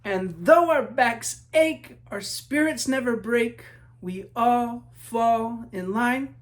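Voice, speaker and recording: A man from New Mexico reading his children's book aloud in measured rhythm, as a storyteller talking to young listeners. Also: very high-pitched. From New Mexico, United States.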